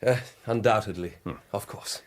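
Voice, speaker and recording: Actor as an earnest, low-voiced Victorian police inspector. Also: male voice, normal speed, lightly acted. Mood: grateful, sheepish. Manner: hesitant, polite, formal. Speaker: actor in radio drama. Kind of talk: radio drama acting.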